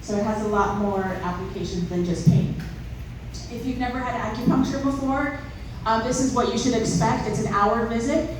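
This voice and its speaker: Woman, upbeat, chatty, laughs often, slightly high voice, distant mic, noisy echoey room. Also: moderate pace. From born in Israel, grew up in Southern California. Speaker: acupuncturist and naturopathic doctor. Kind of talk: casual presentation and demonstration.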